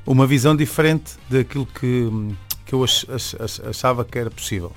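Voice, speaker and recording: A man's voice: medium pitch, fairly quick. Venezuelan-born guy, spanish first language, casual interview chat, medium voice, repeats words a lot, light music under. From born in Venezuela, in Portugal from age ten. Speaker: singer, interview guest.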